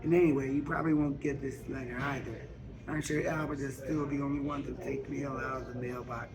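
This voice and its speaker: A man's voice: mid-range voice, little pitch movement, brisk, a crowd in the background. A man reading a novel aloud, delivering first-person narration written in Southern dialect. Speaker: book reader. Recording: noisy public store ambience.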